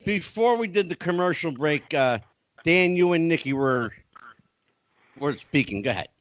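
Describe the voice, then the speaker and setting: A man hosting a podcast panel who talks excitably and keeps jumping in with questions. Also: a little high, moderate pace, dry recording, lo-fi sound. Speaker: podcast host. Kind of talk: casual podcast panel chat.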